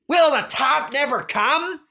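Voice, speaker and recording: A proud young steam engine puffs out his lines in a raised, bright voice, voiced by a male reader. He sounds eager and determined, pushing every phrase with effort in this storybook reading. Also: very high voice, slow, creaky, pitched above the performer's own voice, full character voice. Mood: straining, triumphant. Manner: excited, determined.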